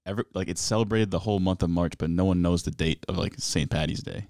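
A man's voice: low voice, quick pace, darkish tone, dry recording, studio quality. A man chatting casually as a regular on a podcast. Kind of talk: casual chat.

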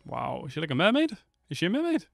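A man's voice: on the high side, wildly swooping pitch, fast talker, creaky, a bit bright, no echo, soft background music. A man in his twenties giving slangy, offhand reaction commentary into a close desk microphone. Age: 20s. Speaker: reaction video host.

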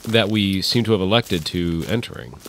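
A man's voice: low voice, moderate pace, a bit bright, slight background noise. A sick man co-hosting a game playthrough, jumpy and swearing, yelping when scared, recorded on a webcam mic in a small room. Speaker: let's play co-host. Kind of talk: casual gameplay commentary.

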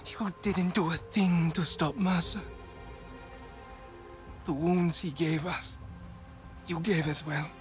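A man's voice: a little high, fairly even intonation, music in the background. A man acting a bitter, wounded young alien survivor in a dramatic video game scene, with an accusing manner. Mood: hurt, accusing. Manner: slow, accusing delivery.

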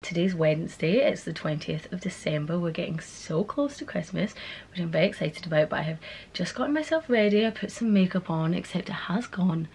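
A woman's voice: on the low side, animated, fairly quick, darkish tone. A young woman in her twenties chatting casually to camera in her bedroom, upbeat and friendly. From Scotland. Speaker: vlogger. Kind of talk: casual vlog chat. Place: bedroom at home, indoors.

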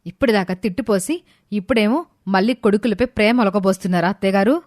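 A woman playing a sharp-tongued, spiteful daughter-in-law who speaks with sarcasm and scorn. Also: mid-range voice, fast, dry recording, clean studio sound, close to an everyday voice. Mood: scornful.

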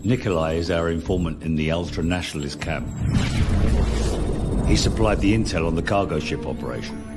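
An actor playing a stoic, seasoned special forces captain in a video game, terse and deadpan. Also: male voice, deep voice, fairly quick, over loud music, close to an everyday voice. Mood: grim resolve. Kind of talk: terse dramatic military dialogue in a game. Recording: loud game score behind.

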